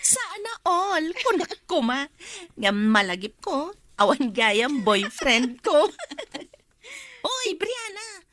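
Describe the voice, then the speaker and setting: A wistful, admiring young best friend in a radio drama. Her high, slow, Ilocano-accented voice comes with slight noise and clicks. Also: sing-song, a bit bright, heavily acted. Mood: wistful, teasing. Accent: Filipino, Ilocano.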